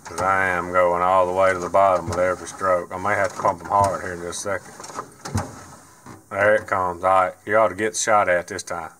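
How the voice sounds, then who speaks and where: A man's voice: sits low, little pitch movement, fairly quick, pushed and bright, a little hiss or hum. A man, talking casually and plainly as he drives and works on a race car. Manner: folksy, plain-spoken, rambling. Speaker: vlogger and racer. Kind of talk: casual vlog narration. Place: inside a truck cab, then a race car cockpit.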